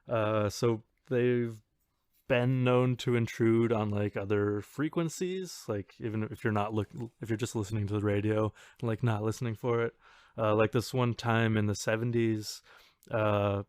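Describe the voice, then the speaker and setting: A man co-hosting a podcast, explaining things in an informal, halting way. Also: on the low side, fairly even intonation, darkish tone, smooth, dry recording. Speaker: podcast co-host. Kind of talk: casual explanatory podcast chat.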